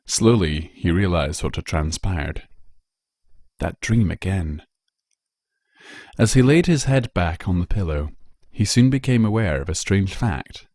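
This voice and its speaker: Deep-voiced man narrating a story. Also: expressive, measured pace, a little mellow, no echo, studio quality. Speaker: narrator, fan fiction reader.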